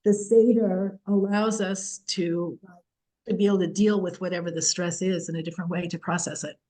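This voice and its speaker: A woman's voice: fairly low pitch, steady tone, moderate pace, warm tone, poor audio. Woman teaching torah online, earnest and reflective, voice going out on her. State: losing her voice.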